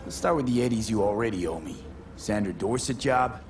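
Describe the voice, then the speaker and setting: A man's voice: fairly low pitch, expressive, brisk, a little hiss or hum. A man playing a cocky, streetwise young mercenary in a video game, with a clipped, blunt, wry delivery. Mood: businesslike. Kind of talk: dramatic game dialogue.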